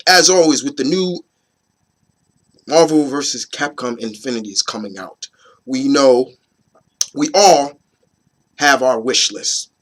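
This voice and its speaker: A hoarse man in his thirties, getting over a cold, hosting his opinion video in a rambling, casual way with many fillers and restarts. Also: medium pitch, fairly bright tone. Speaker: host of an opinion video series. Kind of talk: casual chat to camera.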